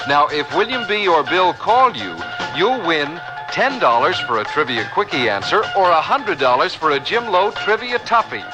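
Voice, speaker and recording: Station announcer: man, higher register, scripted promotional read, animated, over music bed. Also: moderate pace, poor audio.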